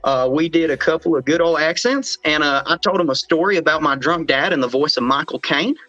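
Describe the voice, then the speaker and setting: A man's voice: a little high, pushed and bright, dull audio. A young man hosting a casual livestream chat, joking and rambling. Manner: joking, rambling, fast talking. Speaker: livestream host.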